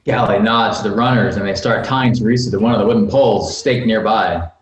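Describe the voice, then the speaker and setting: A man's voice: lowish voice, fairly quick. A man reading screenplay stage directions on a video call, with jokey asides. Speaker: screenplay narrator at a table read. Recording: roomy video-call audio.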